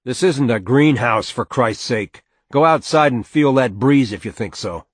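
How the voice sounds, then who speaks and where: A man voicing a gruff, short-tempered farmer father in a storybook reading, emphatic and contemptuous. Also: medium pitch, a little fast, no room sound, pitched above the performer's own voice, natural voice. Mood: angry scorn.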